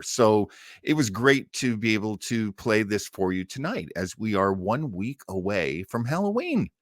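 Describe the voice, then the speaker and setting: A man's voice: mid-range voice, a bit bright, dry recording, clean studio sound. A man hosting a casual, chatty livestream about old radio shows, rambling and easily sidetracked as he talks. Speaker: livestream host of an old-time radio listening show.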